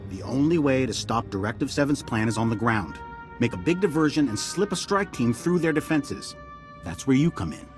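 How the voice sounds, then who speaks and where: An actor playing a grave, urgent military intelligence director in a video game, briefing in a clipped, earnest way. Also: male voice, mid-range voice, animated, moderate pace, close to an everyday voice. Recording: dramatic music underneath.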